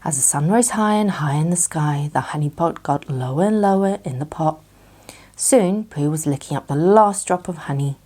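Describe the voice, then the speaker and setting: A woman narrating a children's bedtime story as an expressive storyteller. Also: deep voice, normal speed.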